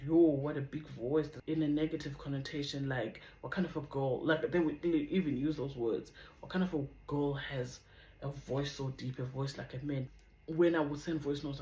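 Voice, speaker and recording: A young woman with a South African accent and a deep voice, chatting in a rambling, casual way as she shares a personal testimony on her vlog. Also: roomy sound, low-quality recording. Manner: chatty, rambling, drifts off topic. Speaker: vlogger.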